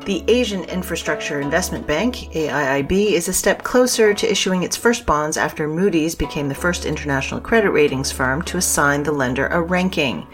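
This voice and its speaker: A woman reads the news from a script in a low register. She is formal and precise in her phrasing. Faint music plays underneath. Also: brisk, hardly any pauses. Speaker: news reader.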